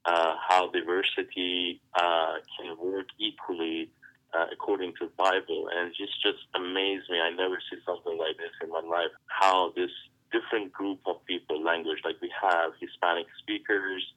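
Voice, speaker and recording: Deep, flat-voiced Iranian man. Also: dull audio. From Iran. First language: Farsi. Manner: halting, searching for words, heartfelt. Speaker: pastor. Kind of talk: interview answers.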